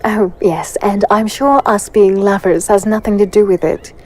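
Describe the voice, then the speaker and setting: A woman playing a sharp-tongued, playful warrior in a fantasy audio drama, with sarcastic, teasing delivery. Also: medium pitch, fairly even intonation, normal speed, natural voice.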